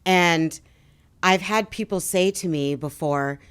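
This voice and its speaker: A woman's voice: lowish voice, takes it slowly, fairly bright tone, clean tone. A woman hosting a podcast interview, asking questions and listening in an attentive, encouraging way. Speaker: podcast host.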